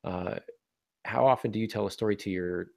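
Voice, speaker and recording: Creaky-voiced man hosting, low and dry. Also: brisk, dry recording. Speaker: podcast host and author. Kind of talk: casual podcast discussion.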